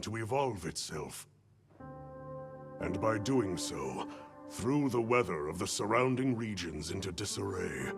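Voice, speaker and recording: A grave older commander of a fantasy hunters' guild, speaks slowly in a low, gravelly voice. He is formal and authoritative, weighing his words, with music playing clearly behind him. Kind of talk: cinematic fantasy game acting.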